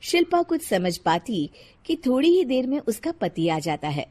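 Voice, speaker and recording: A woman tells a scripted moral tale as narrator. Her voice is high, with lively rises and falls. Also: moderate pace. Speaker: story narrator.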